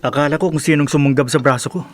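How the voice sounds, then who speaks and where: A man's voice: fairly high pitch, fairly even intonation, racing, lightly acted. An actor playing an aggrieved, stubborn young man in a radio drama, pleading and hesitant in his delivery. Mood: defensive, pleading. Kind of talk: Filipino radio drama acting.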